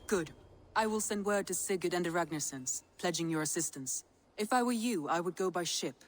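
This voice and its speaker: An actress playing a shrewd Viking strategist who gives counsel in a measured, businesslike way. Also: female voice, on the low side, lively intonation, a little hiss or hum, close to an everyday voice. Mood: advising. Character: shrewd, composed Viking woman strategist and advisor. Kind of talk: dramatic video game cutscene acting.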